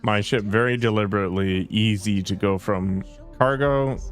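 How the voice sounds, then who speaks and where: A man's voice: on the low side, normal speed, faint music behind. A man casually commenting with wry asides while playing a video game. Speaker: gameplay streamer. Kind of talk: let's play commentary.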